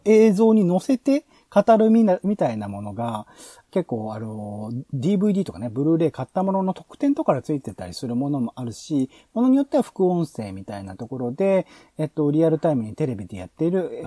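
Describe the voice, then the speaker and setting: A man hosting a podcast, explaining ideas in a halting, think-aloud manner full of fillers. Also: on the high side, mellow, dry recording. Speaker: podcast host. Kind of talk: explaining show ideas in a planning talk.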